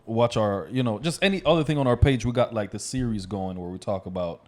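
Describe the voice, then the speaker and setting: A man's voice: on the low side, brisk. An American man co-hosting a casual music discussion, explaining his views and often checking that he is being followed. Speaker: podcast co-host.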